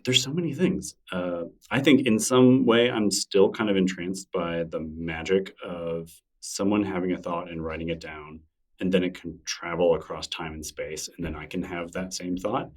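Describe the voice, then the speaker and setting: A man with a very low voice talks his way through answers in a relaxed, wandering manner, circling back with fillers and restarts. He sounds set back from the microphone. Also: normal speed, far from the mic. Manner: thoughtful, rambling, with frequent fillers and restarts. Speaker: interview guest, newsletter creator. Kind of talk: casual conversational interview.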